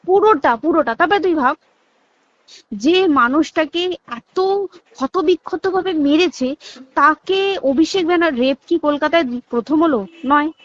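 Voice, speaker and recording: A woman's voice: high-pitched, unhurried. A woman with a West Bengal Bengali accent in an agitated private phone call, speaking insistently and emphatically and pressing points with repeated rhetorical questions. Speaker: hospital staff member. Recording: dull lo-fi recorded phone call.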